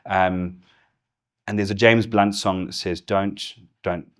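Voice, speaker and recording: A middle-aged man from Zimbabwe whose Zimbabwean accent has softened toward English, telling his story candidly as an interview guest. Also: low-pitched, steady tone, slow pace. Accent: Zimbabwean with English influence. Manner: candid, storytelling, laughs easily. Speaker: guest, business executive. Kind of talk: casual interview conversation.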